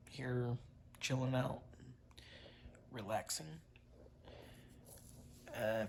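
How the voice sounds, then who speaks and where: A congested man chatting casually to his viewers in a vlog, halting with many fillers and restarts. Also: medium pitch, creaky, slight background noise. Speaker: vlogger.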